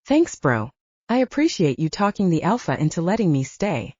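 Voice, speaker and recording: Lady putting on cheeky flirty wizard guy, teasing, mellow audiobook voice, very up and down. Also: fairly low pitch, sing-song, normal speed, husky, dry recording, dull audio. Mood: breezy, playful. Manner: teasing, breezy.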